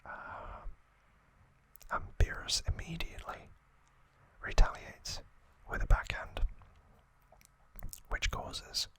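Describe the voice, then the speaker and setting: A man hosting ASMR, whispering a trivia recap in a breathy, hushed voice with short phrases and pauses. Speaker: ASMR host.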